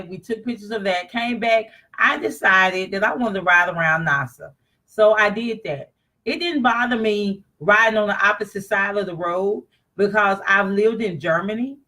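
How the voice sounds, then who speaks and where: A confident woman chats about her travels straight to her viewers. Her voice is bright and forward, with an easy, talkative flow. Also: medium pitch, low-quality recording. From East Texas. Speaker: vlogger. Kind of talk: casual travel chat.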